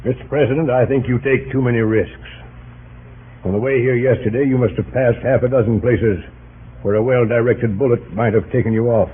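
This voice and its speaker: An actor playing a loyal, forthright middle-aged army commander in an old-time radio drama, formal, earnest and direct. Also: male voice, medium pitch, steady tone, a little fast, husky, lightly acted. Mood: concerned. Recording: tinny, noisy old radio recording.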